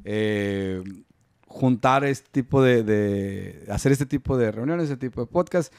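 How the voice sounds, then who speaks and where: A man hosting a casual podcast from a toy-filled collectibles room, joking and rambling with lots of fillers, speaking into a boom mic. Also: slow, a little mellow, clear, resonant tone, no echo, clean studio sound. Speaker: podcast host. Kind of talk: casual chat. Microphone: studio mic on boom arm.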